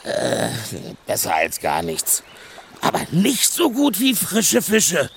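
An actor playing a stocky, hearty, talkative dwarf in a children's audio drama, with a gravelly, creaky voice and a blustery, excitable manner. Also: male voice, a little high, takes it slowly, a little hiss or hum, heavily acted. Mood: chatty, blustery. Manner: blustery, excitable, colourful speech.

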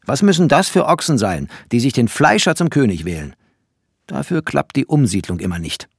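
An actor playing a bitter, bookish male refugee who narrates a postwar German radio play in expressive, poetic, sardonic tones. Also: medium pitch, moderate pace, vocal fry, husky, heavily acted. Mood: bitter, indignant.

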